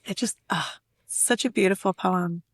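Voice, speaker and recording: A woman's voice: mid-range voice, measured pace, no echo. Woman poet in a dry, close recording. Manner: effusive, conversational. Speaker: guest poet and editor. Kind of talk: casual literary conversation with poem reading.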